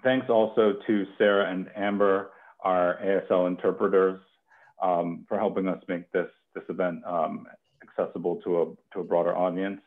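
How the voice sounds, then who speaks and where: A man's voice: low-pitched, flat delivery, normal speed. A man in his thirties hosting a poetry reading from home over a headset on a video call. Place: home kitchen. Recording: muffled phone-like video call.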